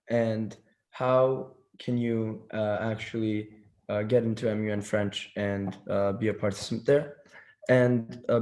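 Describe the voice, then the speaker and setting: A man's voice: mid-range voice, warm tone. A seventeen-year-old boy from Turkey giving an online workshop presentation, hesitant, with many fillers and restarts. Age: teens.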